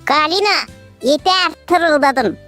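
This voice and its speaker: Bossy little cartoon kid-like voice, really high, slow drawn out, music under. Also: lively intonation, pushed and bright, exaggerated voice. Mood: scolding. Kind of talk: animated cartoon voice acting. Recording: music behind the voice.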